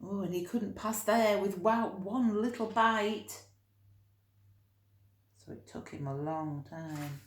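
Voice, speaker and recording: Slow, expressive woman storyteller in echoey room. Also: mid-range voice. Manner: dramatic emphasis, drawn-out key words. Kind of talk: expressive children's story reading.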